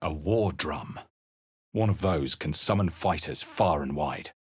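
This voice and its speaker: A man's voice: very deep voice, a little slow, slightly rough, no echo, clean studio sound, full character voice. A man voicing a grave, wry adventurer and spellcaster in a video game, speaking with dramatic weight. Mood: wary, wry. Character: grave male fantasy adventurer hero, wry, watchful spellcaster. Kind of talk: video game character barks and spell incantations.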